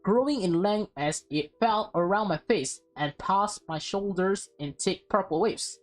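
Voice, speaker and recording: A woman reading a visual novel aloud as a let's play host, expressive and breaking into casual, offhand asides. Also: medium pitch, a little slow, faint music behind.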